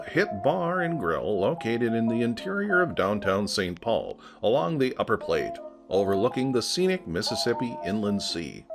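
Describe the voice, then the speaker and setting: A man playing a polished narrator of futuristic features, reading scripted audio-drama narration in a measured, expressive way. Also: mid-range voice. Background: music with guitar.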